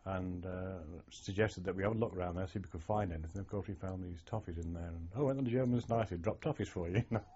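Older male, low husky mellow voice, reflective storyteller, lo-fi archive tape. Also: fast, hardly any pauses. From England. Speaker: oral history interviewee, former navy sailor. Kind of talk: reminiscing oral history interview.

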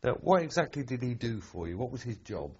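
A man's voice: medium pitch, big pitch swings, brisk, a little mellow, a little hiss or hum. A man putting probing questions in an oral history interview, often rephrasing as he goes. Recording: dull, muffled tape sound.

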